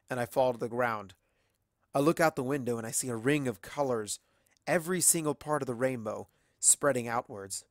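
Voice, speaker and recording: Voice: medium pitch, no room sound, clean studio sound. A narrator reading a first-person story expressively. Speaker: fan fiction narrator.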